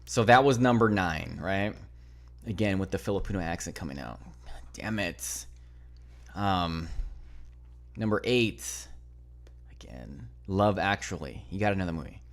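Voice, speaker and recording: Low-voiced male podcast host, loose and profane, rambles through a countdown. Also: lively intonation, unhurried, pauses often. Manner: rambling, profane, fumbles for names. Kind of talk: casual podcast chat, counting down a movie list.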